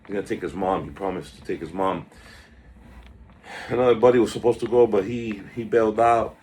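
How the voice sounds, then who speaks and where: A man with a lowish voice talks through the day's stock moves in a loose, rambling way, wandering off into small talk between tickers. It sounds like a casual market update recorded on a phone, with a faint hum of background noise. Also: a little fast, darkish tone. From South Florida. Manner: chatty, drifts off topic, reads out stock tickers. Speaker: stock market video host.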